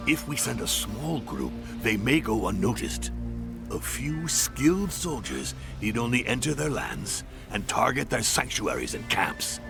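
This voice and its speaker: An actor playing a stern, skeptical council leader in a fantasy game, speaking with commanding authority. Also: male voice, medium pitch, very animated, a little slow, creaky, pauses often, music in the background. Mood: calculating. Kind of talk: dramatic fantasy game acting.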